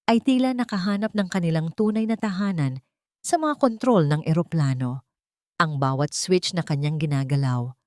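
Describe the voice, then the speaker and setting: A low-voiced Filipino woman reads a dramatic audiobook story. Her delivery is measured and theatrical, recorded dry and close with no room sound. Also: a little mellow, dry recording. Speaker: audiobook narrator. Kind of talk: dramatic scripted story narration.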